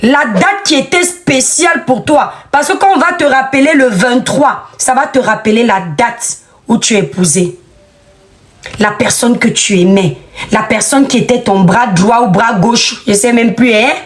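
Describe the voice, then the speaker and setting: A woman's voice: on the high side, a little slow. A woman giving an outspoken commentary monologue, emphatic and scolding, repeating phrases for effect.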